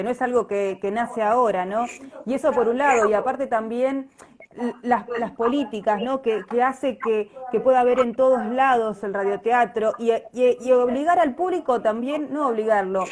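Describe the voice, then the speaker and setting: A woman chatting as an interview guest on a live video call, haltingly, often restarting her phrases. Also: on the high side. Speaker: radio drama actress, interview guest. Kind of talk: casual interview chat. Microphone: live social media video call.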